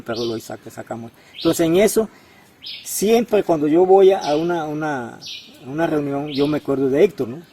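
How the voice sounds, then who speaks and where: A man, a community activist, giving a testimonial interview in a halting way, with many restarts and tag questions. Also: fairly high pitch, mellow, slight background noise.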